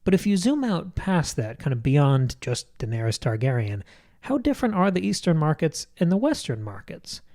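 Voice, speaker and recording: Higher-pitched, mellow man analysing books. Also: big pitch swings, normal speed. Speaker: book club podcast co-host. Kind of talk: literary discussion, analytical chat.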